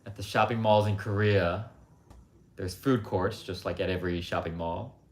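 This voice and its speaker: Low-voiced blunt man rambling. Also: steady tone, moderate pace, darkish tone. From United States. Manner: rambling, blunt, frequent asides with "like" and "um". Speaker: livestream host. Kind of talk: casual opinionated monologue. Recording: roomy echo.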